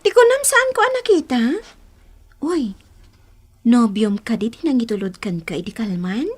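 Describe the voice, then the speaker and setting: An actress with an Ilocano Filipino accent playing a nosy, teasing young friend in a radio drama. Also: female voice, a little high, big pitch swings, normal speed, slightly rough.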